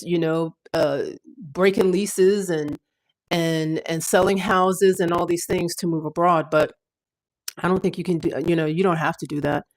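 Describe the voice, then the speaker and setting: A woman in her fifties hosting a casual livestream, talking in a chatty, rambling way with fillers and restarts. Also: lowish voice. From United States. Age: 50s. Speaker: livestream host.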